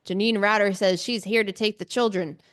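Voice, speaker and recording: A woman's voice: medium pitch, fairly even intonation, a little fast, a bit bright. Chatty woman recapping a book casually. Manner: chatty, informal asides, giggly. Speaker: podcast co-host.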